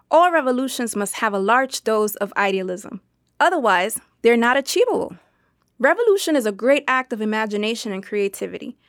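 A woman reading a dubbed translation of an interview answer in an articulate, deliberate, formal way. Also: on the high side, dry recording, clean studio sound. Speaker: voice-over translator dubbing an interview guest. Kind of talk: measured, read dubbed translation.

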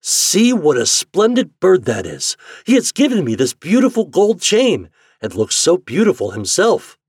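A man's voice: sits high, wildly swooping pitch, a little slow, darkish tone, slightly rough, no echo, clean studio sound, pitched above the performer's own voice, exaggerated voice. Man acting a cheerful, lilting storybook father. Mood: admiring. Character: cheerful, wonderstruck, kindly fairy-tale father.

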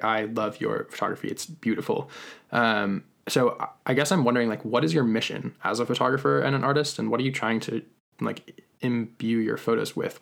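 A man's voice: medium pitch, creaky, a bit bright. A man hosting a casual interview in an encouraging, conversational way. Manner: encouraging, affirming. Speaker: podcast host, interviewer.

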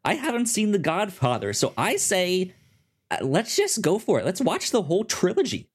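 A man co-hosting a movie podcast, talking casually with a halting, stammering delivery that often repeats words. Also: high voice, huge pitch swings, husky. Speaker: podcast co-host. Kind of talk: casual chat pitching films on a movie podcast.

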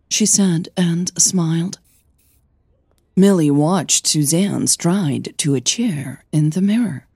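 A woman narrating scripted audio fiction in a gentle, deliberate storytelling manner. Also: low voice, slightly rough, no room sound, clean studio sound. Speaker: narrator and podcast creator.

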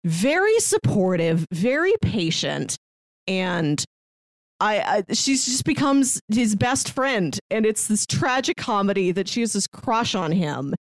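A woman co-hosting a podcast, chatting casually and telling stories in an animated way, acting out the lines she quotes. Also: mid-range voice, takes it slowly, dry recording. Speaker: podcast host. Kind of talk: casual chat about a manga.

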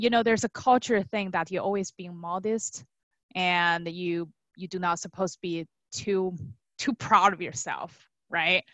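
A young woman who grew up in China, talking casually as an interview guest in an animated, conversational way, often checking in with tag questions. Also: medium pitch, no echo, dull audio. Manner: animated storytelling, frequent tag questions, quotes imagined clients. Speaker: guest, dog trainer.

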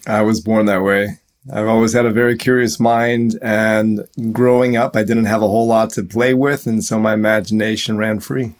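A man from a suburb of Cleveland, Ohio, giving calm, measured, reflective answers as an interview guest. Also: on the low side.

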